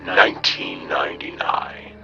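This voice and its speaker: Gloating, gravelly ancient evil spirit. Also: male voice, low-pitched, muffled recording, loud music behind the voice, full character voice. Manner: slow, pompous, savouring each word. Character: ancient malevolent spirit possessing a man, gloating, sadistic. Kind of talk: radio drama villain monologue.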